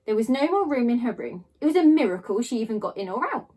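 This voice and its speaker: Expressive woman reading a children's storybook. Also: a little high. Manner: theatrical, with dramatic pauses and drawn-out emphasis.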